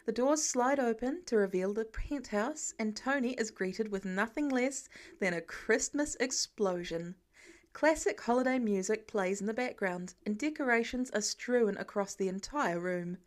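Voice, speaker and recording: A woman with a slightly high voice narrates a story from a script. She reads prose aloud as the narrator of an amateur audio fiction recording. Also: normal speed. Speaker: podfic reader.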